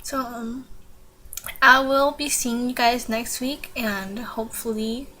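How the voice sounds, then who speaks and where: A teenage girl vlogging casually, giggling awkwardly and filling pauses with lots of ums. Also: fairly high pitch, fairly even intonation, bright, forceful tone, poor audio. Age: teens. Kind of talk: casual informative vlog.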